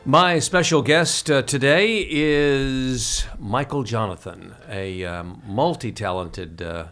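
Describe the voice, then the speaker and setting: A man hosting an interview, speaking haltingly with many fillers. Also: medium pitch, fairly bright tone, soft background music. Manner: hesitant, many fillers, friendly. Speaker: host.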